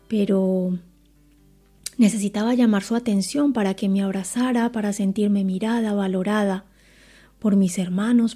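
A woman's voice: medium pitch, dark tone. A woman in her forties from Colombia giving a personal faith testimony in an earnest, reflective way.

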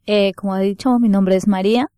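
A woman's voice: medium pitch, steady tone, a little fast, warm tone, dry recording, studio quality. A young woman with an Ecuadorian accent giving a polite, earnest personal testimony as a radio guest, a little hesitant. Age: young adult.

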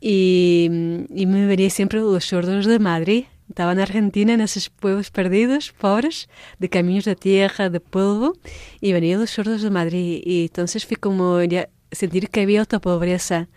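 Portuguese-born woman, halting, smooth voice. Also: medium pitch, steady tone, a little mellow, dry recording. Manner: halting, searching for words, many restarts. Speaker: religious sister, guest. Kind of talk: radio interview.

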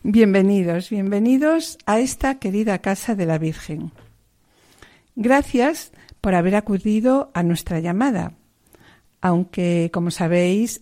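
Woman host, mellow voice, formal devout hosting, measured delivery. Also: medium pitch.

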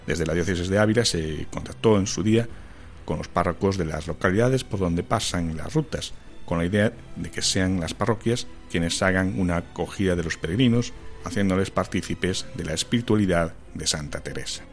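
Deep-voiced male news narrator. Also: faint music behind. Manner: measured, formal reading. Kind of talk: scripted voice-over narration.

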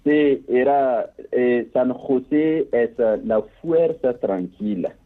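Man, priest, slow and earnest, husky voice, tinny phone line. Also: medium pitch. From Burkina Faso. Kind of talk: phone interview, prayer.

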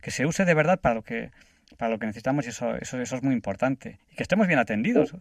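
A man's voice: medium pitch, lively intonation, racing, vocal fry, no echo, clean studio sound. A man hosting a radio interview, reflective and affirming, with some hesitations. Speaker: radio host.

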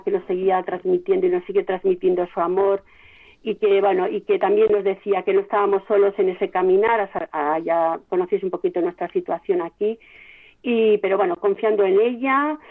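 A woman with a Spain Spanish accent giving a devout, heartfelt, hesitant testimony in a radio interview, over a thin phone-like line. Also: mid-range voice. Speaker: radio station volunteer being interviewed.

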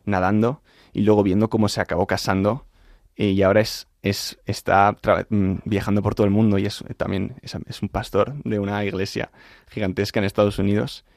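A man's voice: fairly low pitch, level tone, a little fast, darkish tone, dry recording, clean studio sound. A young man with a Madrid accent giving an informal personal account as an interview guest, often repeating and restarting his phrases. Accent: Madrid Spanish. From Madrid, Spain. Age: young adult. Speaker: interview guest, composer and singer studying at university. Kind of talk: informal spoken testimony in an interview.

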